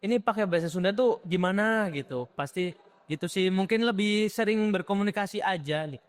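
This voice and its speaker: A man's voice: high voice, brisk. A young man with a Javanese accent, a student answering interview questions in a hesitant, casual way with many fillers. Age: young adult.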